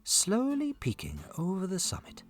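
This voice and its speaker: A narrator telling a children's folktale in an expressive storybook style, with a husky voice. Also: medium pitch, moderate pace, faint music behind.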